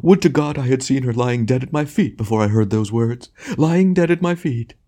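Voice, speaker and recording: A man's voice: mid-range voice, expressive, moderate pace, mellow, voice pushed up, close to an everyday voice. A man voicing a bullying, theatrical phantom tragedian in an audiobook, declamatory and melodramatic, full of grandiose self-pity. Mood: choking despair. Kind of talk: dramatic audiobook character acting.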